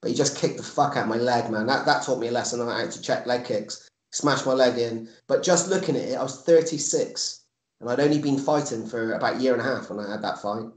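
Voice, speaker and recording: A man's voice: medium pitch, a little fast. Englishman in his forties, echoey room. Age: 40s. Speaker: podcast guest, MMA coach and fighter. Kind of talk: casual chat.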